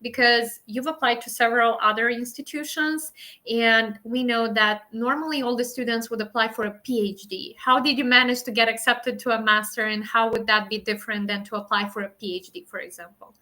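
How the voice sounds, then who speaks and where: A woman's voice: fairly high pitch, fairly even intonation, fairly quick, sharp tone. A woman hosting a webinar over a video call, introducing her guest and asking questions in a chatty way with many fillers. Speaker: webinar host.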